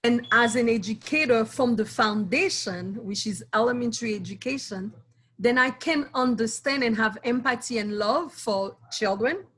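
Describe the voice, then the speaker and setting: Woman, fairly high voice. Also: a bit bright, slight background noise. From Haiti. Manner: earnest, proud, speaks in short phrases with pauses. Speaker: guest, school board candidate. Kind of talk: interview answers.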